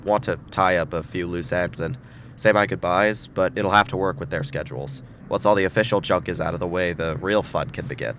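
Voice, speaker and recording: Man acting weary, anguished low-voiced hero. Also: brisk, fairly bright tone, slight background noise. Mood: resigned. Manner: weary, earnest, emotional. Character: anguished, principled man trapped in time loop. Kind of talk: audio drama acting.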